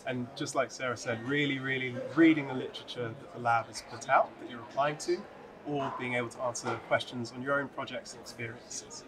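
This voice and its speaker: A young man from the UK, just finished his PhD, gives applicants advice in a level, even voice with little rise and fall. A clear murmur of people fills the atrium behind him. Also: medium pitch, a little fast. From United Kingdom. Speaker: recent PhD graduate. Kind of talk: answering interview questions to camera. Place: large open atrium, indoors. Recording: crowd chatter behind the voice.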